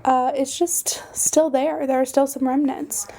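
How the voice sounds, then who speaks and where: A young woman hosting a casual podcast, chatty and self-deprecating with lots of fillers, her voice slightly hoarse from a cold. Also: sits high, little pitch movement, a little slow, mellow. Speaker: podcast host. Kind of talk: casual solo podcast chat.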